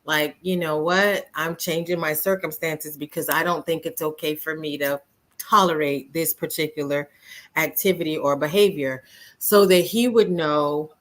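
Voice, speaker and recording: A woman's voice: low-pitched, steady tone, normal speed. A woman hosting a casual live stream, speaking emphatically and throwing rhetorical questions at her listeners. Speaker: host.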